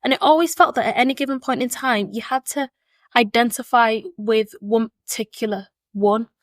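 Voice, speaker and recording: A woman's voice: on the high side, fairly even intonation, moderate pace, dry recording, clean studio sound. A woman, giving earnest, reflective answers as a podcast guest and often repeating words while she thinks. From born in Zimbabwe, raised in Leeds, England. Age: 20s. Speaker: podcast guest, education consultant. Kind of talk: thoughtful conversational interview answers. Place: seated at a table with the hosts.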